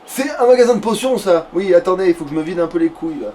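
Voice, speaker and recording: A man's voice: high voice, animated, fast, darkish tone. A man doing casual let's play commentary with crude, sarcastic banter. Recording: distant mic, faint background noise.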